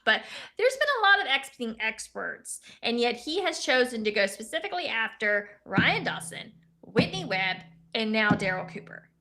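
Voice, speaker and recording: A young woman with a high-pitched voice co-hosting a casual political talk show, chatty and often correcting herself. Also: pushed and bright. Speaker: co-host.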